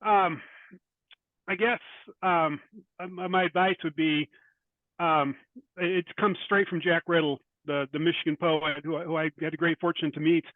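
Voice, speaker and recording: Man, slightly high pitch, dry recording, interview guest, writer, halting, thoughtful, repeats himself. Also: fairly even intonation, dry recording, narrow, tinny audio. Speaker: guest, short fiction writer. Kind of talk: interview conversation.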